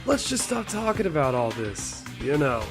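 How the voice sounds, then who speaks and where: A man's voice: a little high, very animated, normal speed, music in the background. A man voicing a laid-back, optimistic teenage slacker with casual phrasing, in an anime game fan dub. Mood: breezy.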